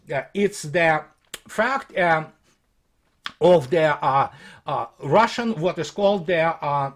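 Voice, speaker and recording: A man with a noticeably high-pitched voice delivers off-the-cuff commentary as a military pundit. He is scornful and emphatic. His delivery is halting, full of hesitations and repeated words as he picks apart what he discusses. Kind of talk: unscripted opinionated commentary, quoting and critiquing a report.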